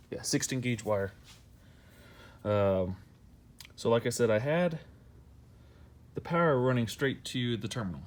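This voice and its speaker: An American man giving a casual, rambling hands-on how-to, repeating himself and apologizing as he goes on a handheld camera. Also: lowish voice. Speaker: DIY vehicle vlogger. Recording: noisy handheld amateur video.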